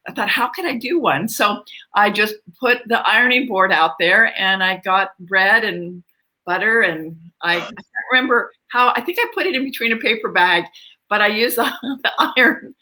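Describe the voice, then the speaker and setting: An older woman with a bright, forceful voice at an average pitch. She speaks as a guest author, sharing personal stories in an upbeat, conversational way. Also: normal speed, rough recording.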